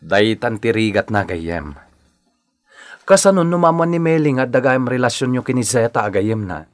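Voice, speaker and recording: An actor plays an earnest young Filipino man in radio melodrama, disapproving of his friend. His pitch leaps around as he scolds. The sound is dry, with little room to it. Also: expressive, normal speed, no echo, close to an everyday voice. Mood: scolding. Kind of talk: Ilocano radio drama acting.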